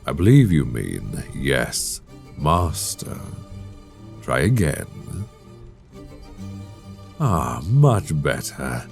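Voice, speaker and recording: Casting: possessive, condescending vampire master for intimate audio roleplay; extremely low voice, very slow, savoring delivery. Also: male voice, big pitch swings, darkish tone, faint music behind, exaggerated voice. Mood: commanding, soothing. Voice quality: gravelly, very deep. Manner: silky, commanding, drawn-out, mock-tender. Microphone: close binaural microphone.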